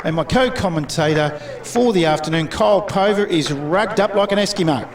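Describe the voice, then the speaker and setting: Brisk Australian man calling football. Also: sits high. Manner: brisk, fluent, reels off names and scores. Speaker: lead commentator. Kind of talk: sports commentary. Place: outdoor football ground. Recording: outdoor commentary with clear crowd.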